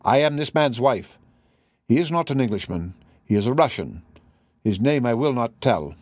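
A man reading aloud, voicing a dignified foreign woman confessing in a steady, grave way. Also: medium pitch, normal speed, dry recording, studio quality. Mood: disdainful. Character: proud foreign woman, weary and defiant.

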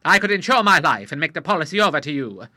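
A man voicing a hot-tempered retired admiral of sixty-three, speaking loudly and with affront. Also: a little high, big pitch swings, fast talker, dry recording, studio quality, voice pushed up, heavily acted. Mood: indignant. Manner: loud, blustering and affronted.

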